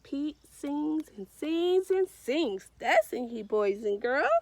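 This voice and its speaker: A woman's voice: high-pitched, expressive, slow pace, darkish tone, dry recording. A woman reading a children's storybook aloud in a sing-song, encouraging way, with emphatic repetition and questions to young listeners. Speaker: read-aloud storyteller, librarian.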